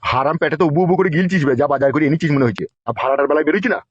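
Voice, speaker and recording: Brief: male actor as grumpy older cartoon landlord, very fast, highish, coarse scolding, dull lo-fi sound. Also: heavy background noise, natural voice.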